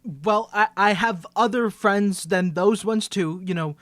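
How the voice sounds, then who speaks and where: Man acting as flustered, stammering secret superhero, higher-pitched. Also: measured pace, a bit bright, dry recording. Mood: defensive teasing. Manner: stammering, nervous, rambling excuses. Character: flustered young man hiding his secret superhero identity from best friend. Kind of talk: ASMR roleplay audio drama.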